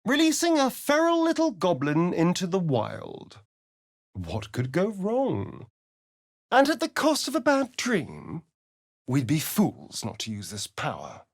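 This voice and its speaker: An actor playing a sardonic, vain, scheming vampire spawn in a video game, with an arch, drawling, theatrical delivery. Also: male voice, high-pitched, wildly swooping pitch, slow pace, no echo, clean studio sound, heavily acted. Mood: sarcastic, playful. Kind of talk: video game character voice lines.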